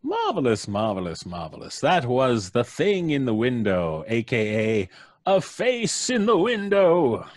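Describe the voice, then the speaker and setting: Male live-show host, unhurried, husky, lively intonation, informal presenting, dry close sound. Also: medium pitch, dry recording. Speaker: host and performer.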